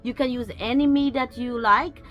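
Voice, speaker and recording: A woman's voice: a little high, steady tone, normal speed, lo-fi sound, faint music behind. A woman from Guyana with a Guyanese accent, casually showing a cooking recipe outdoors and chatting with her viewers. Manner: chatty, talks to viewers and pets. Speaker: cooking vlogger. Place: backyard outdoors.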